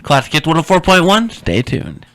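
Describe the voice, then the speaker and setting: A man's voice: on the high side, sing-song, moderate pace, a bit bright. A young man hosting a radio show, chatting casually and posing probing rhetorical questions. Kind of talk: casual on-air chat.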